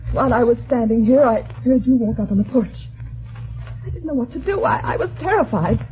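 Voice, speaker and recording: An actress playing a frightened, earnest widow in an old-time radio drama, speaking anxiously and hesitantly. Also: female voice, on the high side, animated, a little fast, close to an everyday voice. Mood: frightened, pleading. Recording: thin, narrow-band old radio recording.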